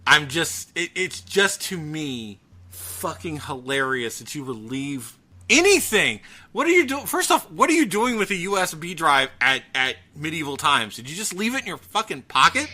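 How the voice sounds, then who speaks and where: A man chatting casually as a podcast host, ranting in an animated, profane way. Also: a little high, normal speed. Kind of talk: casual chat about gaming news.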